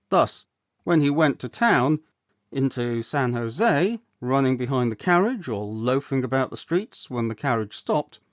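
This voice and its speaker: A man reading a literary story aloud, with measured, deliberate phrasing and dramatic emphasis. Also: medium pitch, no echo, clean studio sound. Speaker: storyteller, audiobook reader. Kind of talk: scripted literary narration, storytelling.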